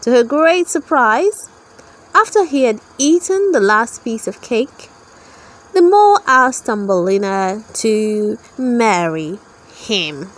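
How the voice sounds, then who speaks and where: A woman narrator reads a children's story aloud in a high voice. Her delivery is slow and scripted, with very lively intonation suited to toddlers.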